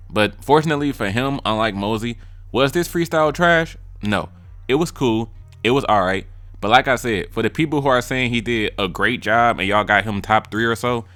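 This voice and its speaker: A man's voice: mid-range voice, a bit bright, dry recording. A young man giving blunt, joking casual commentary as a YouTube music reviewer, slipping into mimicry of rappers' lines. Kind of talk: casual commentary ranking freestyles.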